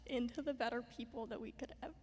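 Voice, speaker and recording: A woman's voice: on the high side, fairly even intonation, quick pace, a little hiss or hum. A young woman who was once a student reads a prepared tribute, emotional and close to tears, in a synagogue. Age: young adult. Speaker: former student giving a tribute. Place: synagogue sanctuary. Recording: long hall reverb.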